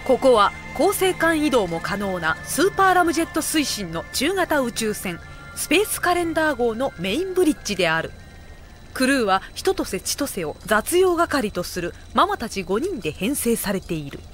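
A woman narrating a scripted anime comedy audio drama, with deadpan asides. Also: on the high side, normal speed, faint music behind.

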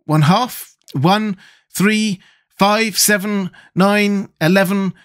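A man playing a plucky, blunt, excitable young boy in a storybook. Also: high voice, slow, pitched above the performer's own voice. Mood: resolute. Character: plucky, impatient young boy, easily sulky.

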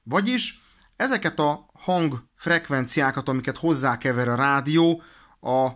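A man explains things in an informal, halting way, thinking aloud as he presents a hands-on demonstration. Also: mid-range voice, animated, a bit bright. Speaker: presenter of a radio equipment demonstration. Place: indoors at a desk.

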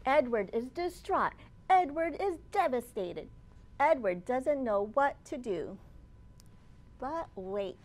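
A woman in her thirties, a children's librarian hosting storytime, reading aloud expressively and deliberately with dramatic emphasis for young children. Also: sits high, sing-song, a little mellow. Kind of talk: animated read-aloud of a picture book for young children. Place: open library children's area, indoors.

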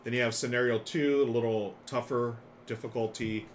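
A man's voice: mid-range voice, normal speed. A middle-aged man hosting a board game live stream, chatting casually and enthusiastically as he explains games. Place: small room lined with board games. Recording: clear steady background noise.

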